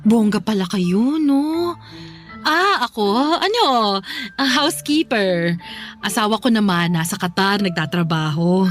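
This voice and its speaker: A woman's voice: medium pitch, animated, measured pace, faint music behind, close to an everyday voice. Woman acting a gushing, swooping-voiced social-climbing mother. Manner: effusive, exclamatory, gossipy. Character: chatty, gushing, ambitious mother, two-faced social climber. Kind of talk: Tagalog radio drama acting.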